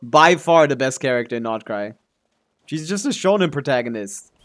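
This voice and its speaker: A man's voice: mid-range voice, lively intonation, normal speed, a bit bright, soft background music. A man giving casual, offhand live stream commentary on a game, thinking aloud as he answers chat and swearing casually.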